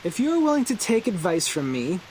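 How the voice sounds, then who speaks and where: A man's voice: high voice, sing-song, a little fast, darkish tone, a little hiss or hum, rough recording. An actor playing an eccentric, theatrical young man who loves horror, in a video game. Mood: teasing. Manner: theatrical, teasing, grandiose. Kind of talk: English video game character acting.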